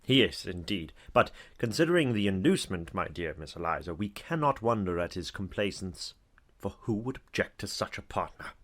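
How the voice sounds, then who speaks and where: An actor playing a genial, talkative older knight in a dramatized audiobook, speaking in an effusive, courtly way. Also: male voice, low voice, sing-song, moderate pace, fairly bright tone, heavily acted. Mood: gallant, coaxing.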